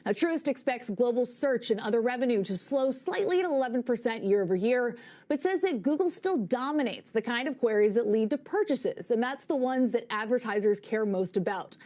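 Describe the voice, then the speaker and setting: A woman's voice: a little high, animated, fairly quick, a little mellow. A woman delivering a scripted business news report for broadcast, as a reporter.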